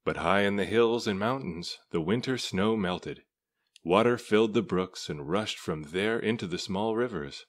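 A man reading a children's story aloud as narrator, with measured, deliberate phrasing. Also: fairly low pitch. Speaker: storybook reader.

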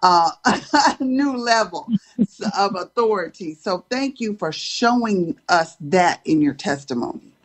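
Slow-talking, enthusiastic woman. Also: medium pitch, animated. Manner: enthusiastic, warmly thankful. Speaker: podcast co-host. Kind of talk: casual chat with preaching tone.

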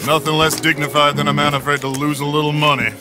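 An actor playing a contemptuous, hot-tempered rich gambler in a western video game, boastful and clipped. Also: male voice, on the high side, level tone, fast, over music, lightly acted. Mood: haughty, contemptuous. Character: haughty, hot-tempered rich gambler at a riverboat poker table. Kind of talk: video game cutscene acting.

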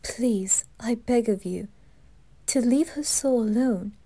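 Woman as a soft, breathy, devoted maid. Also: a little high, takes it slowly, low-quality recording. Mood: pleading. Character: soft-spoken devoted demon maid, gentle and deferential. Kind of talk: ASMR roleplay.

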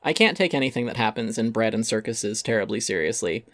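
A man's voice: lowish voice, expressive, brisk, a bit bright, dry recording, faint music behind. Man narrating an essay, very dry close recording. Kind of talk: dramatic reading of a critical essay.

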